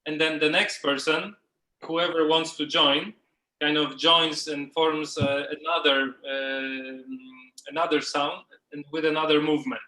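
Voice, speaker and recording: A man's voice: on the high side, steady tone, measured pace, bright, forceful tone, echoey room, poor audio. A man leading a group workshop, giving casual instructions in a loose, informal way, as if thinking aloud. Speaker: workshop facilitator. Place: indoors, on a group video call.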